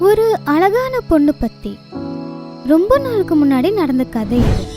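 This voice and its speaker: A woman's voice: sits high, big pitch swings, unhurried. A woman voicing a chatty young wife in a cartoon who tells her husband a ghost story. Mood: storytelling. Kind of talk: Tamil cartoon dub. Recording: music bed behind.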